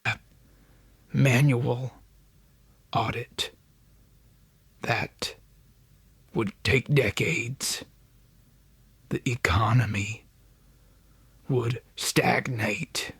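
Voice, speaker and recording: A man plays an ancient alien ruler in a sci-fi audiobook, worn out and panicked. The voice is husky and extremely slow, pitched above his own. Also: fairly even intonation, fairly bright tone, no room sound, heavily acted. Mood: panicked, aghast. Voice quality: breathy, husky. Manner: halting, groaning. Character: ancient alien high counselor, weary and panicked.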